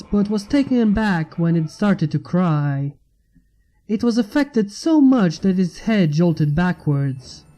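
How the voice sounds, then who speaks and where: A man narrates a fan fiction chapter in a scripted reading style. His voice sits high for a man and is very mellow and dark-toned, with music playing underneath. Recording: music bed behind the narration.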